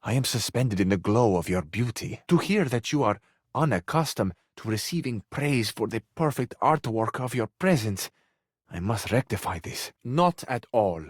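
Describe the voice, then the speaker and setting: An actor playing a flowery, sensual, effusive Italian suitor who flirts overzealously in a video game, with an Italian accent. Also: male voice, medium pitch, expressive, moderate pace, no room sound, studio quality, lightly acted. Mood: amorous, flirtatious.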